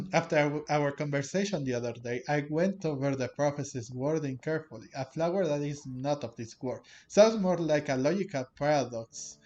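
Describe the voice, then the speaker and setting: A man doing casual, rambling gameplay commentary as host, with halting delivery. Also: on the high side, darkish tone, highs cut off. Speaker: host of a game playthrough channel. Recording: game music under the voice. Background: game music.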